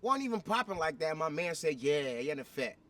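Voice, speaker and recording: A man from Ghana hosting a casual interview for his vlog, excitable and profane, often cutting in. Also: fairly high pitch, a little fast. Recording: distant mic with background noise.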